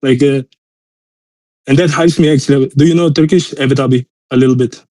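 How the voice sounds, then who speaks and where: A man's voice: medium pitch, steady tone, fairly quick, warm tone. A man from Austria, a native German speaker with a mixed European accent in English, talks casually and motivationally in a rambling, emphatic way, peppered with bro slang.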